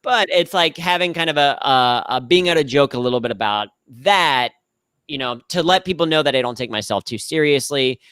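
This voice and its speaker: A young man with a slightly nasal, smooth and resonant voice talks in an upbeat, explanatory way. He pokes fun at himself as he goes. The sound is close and dry, with no room echo. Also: medium pitch, lively intonation, brisk, a bit bright. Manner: upbeat, explanatory, self-deprecating. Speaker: guest, humor trainer. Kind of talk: conversational interview answers.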